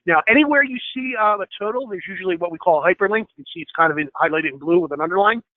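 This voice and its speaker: A man gives a screen-share software training, explaining each step with plenty of fillers and a slightly hoarse voice. His voice is fairly high for a man and he talks quickly. The sound is thin and tinny, like a phone line, and very dry. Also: expressive, no room sound. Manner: explanatory, many fillers, asks for questions. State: slightly hoarse, clearing throat.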